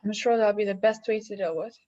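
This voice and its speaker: A woman acting an officious chief telephone operator in a radio drama, polite, measured and unmoved. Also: mid-range voice, warm tone, close to an everyday voice. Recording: slightly dull, lo-fi sound.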